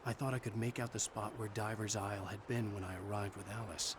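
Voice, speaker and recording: A man's voice: lowish voice, steady tone, a little fast, close to an everyday voice. An actor playing a brooding, wary writer, narrating his ordeal in a video game with grim, dry restraint. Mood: tense, brooding. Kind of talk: scripted first-person game narration. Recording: clear ambient noise behind.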